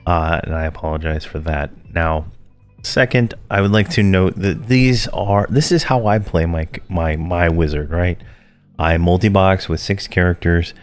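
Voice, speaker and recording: A man's voice: deep voice, unhurried, smooth, faint music behind. A man losing his voice, talking casually and rambling through a game walkthrough with many fillers and restarts. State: losing his voice, a bit rough. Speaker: game guide creator.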